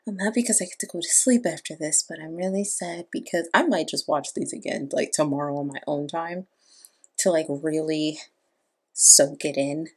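A young adult woman giving casual reaction commentary in a gushing, expressive way. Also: deep voice, normal speed. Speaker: host. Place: small bedroom, indoors. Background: music.

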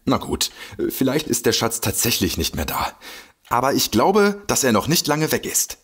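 An actor playing an excitable, boastful detective with a husky voice in a comedy mystery audio drama. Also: male voice, mid-range voice, normal speed. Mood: suspicious, knowing. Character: excitable, boastful male detective eager to explain. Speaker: amateur detective.

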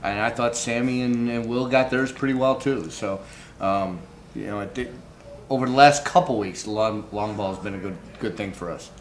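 A middle-aged man answering post-game questions as a baseball manager, informal and halting. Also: lowish voice. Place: indoor press backdrop room. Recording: clearly noisy room background.

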